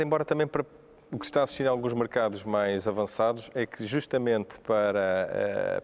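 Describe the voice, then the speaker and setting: A man with a fairly low voice and a European Portuguese accent talks through ideas on a panel. He hesitates often, with fillers and restarts, as he explains. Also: a little mellow. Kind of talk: panel discussion.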